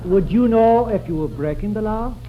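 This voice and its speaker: Casting: jovial, indulgent straight man, high animated voice, vintage radio comedy with audience behind. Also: normal speed, a faint crowd. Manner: chuckling, indulgent. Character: jovial adult man kindly questioning a child. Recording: muffled noisy 1940s radio, live audience.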